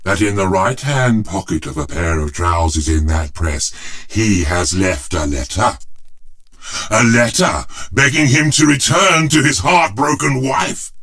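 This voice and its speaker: A man playing a very old, pompous, cantankerous gentleman, really an enchanted chair, in an audio drama with emphatic old-fashioned speech. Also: lowish voice, expressive, a little slow, full character voice. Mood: indignant, insistent. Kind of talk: theatrical audio drama dialogue.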